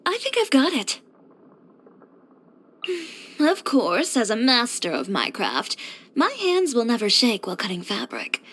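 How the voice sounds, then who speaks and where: A woman's voice: fairly high pitch, huge pitch swings, measured pace, pauses often, fairly bright tone, breathy edge, full character voice. A young woman voicing a confident, blunt fashion designer and boutique owner in a scripted video game, with a poised, direct delivery. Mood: focused, earnest. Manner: self-assured, direct, poised with dry sighs.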